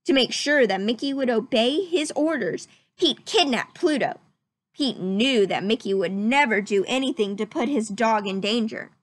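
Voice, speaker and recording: A woman narrates a children's storybook. Her voice is fairly high and very lively in pitch, close and dry in sound. She tells it expressively, with a sense of drama. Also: normal speed, no echo. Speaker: storybook reader. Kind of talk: scripted children's story narration, read aloud.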